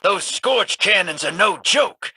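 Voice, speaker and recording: Cocky game scavenger, drawl, lively sing-song delivery, faint hiss behind. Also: male voice, sits high, slow pace, exaggerated voice. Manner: drawling, teasing. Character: drawling roguish male space scavenger, cocky. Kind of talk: video game character dialogue.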